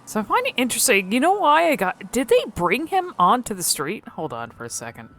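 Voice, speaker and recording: A woman giving casual, unscripted game commentary, thinking aloud haltingly and swearing freely. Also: on the high side, huge pitch swings, fairly bright tone.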